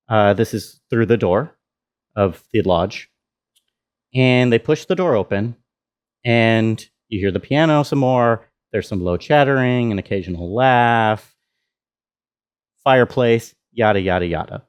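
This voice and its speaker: A man's voice: medium pitch, clean tone. A man giving a casual behind-the-scenes walkthrough as a sound designer, informal and easygoing. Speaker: sound designer of an audio drama. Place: indoor room with air conditioner running. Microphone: self-recording.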